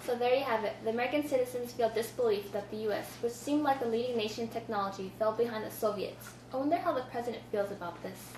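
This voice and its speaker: Young female student anchor, slightly high pitch, reading news copy, distant echoey mic. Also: fast, hardly any pauses, a little mellow. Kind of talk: scripted amateur newscast. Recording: echoey room, distant mic, clear noise.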